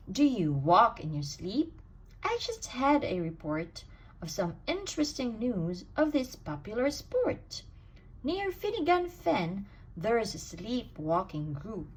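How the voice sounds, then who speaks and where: A woman reading a rhyming bedtime storybook aloud in an expressive, sing-song way. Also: mid-range voice, slight background noise, low-quality recording. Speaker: storybook reader.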